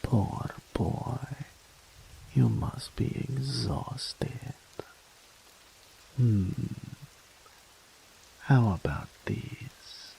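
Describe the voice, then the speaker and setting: A man voicing a doting, possessive male incubus demon in an ASMR roleplay audio, speaking softly and slowly in a whispery, pampering way. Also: medium pitch, expressive, creaky, slight background noise, exaggerated voice, poor audio. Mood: doting, tender. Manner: soft, slow, whispery and pampering.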